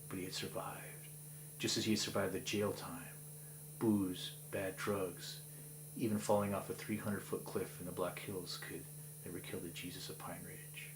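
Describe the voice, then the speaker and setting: A middle-aged man, a poet, reading his verse dramatically in an indoor studio, swinging from loud passages to whispers. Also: fairly low pitch, slight background noise. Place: indoor studio with dark backdrop. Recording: roomy studio echo.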